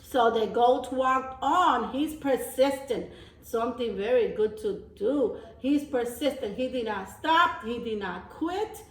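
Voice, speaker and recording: A woman reading a storybook aloud as a teacher of young children, pausing for simple explanations and repeating phrases. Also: fairly high pitch. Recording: roomy echo, distant microphone.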